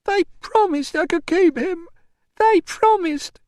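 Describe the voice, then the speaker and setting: A man's voice: very high voice, moderate pace, no echo, studio quality, voice pushed up. A male narrator voicing a distraught, tearful young enslaved mother, wailing and bitter in an audiobook story. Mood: anguished. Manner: wailing, shrieking, accusatory. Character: distraught young enslaved mother, shrill, bitter, weeping over her son.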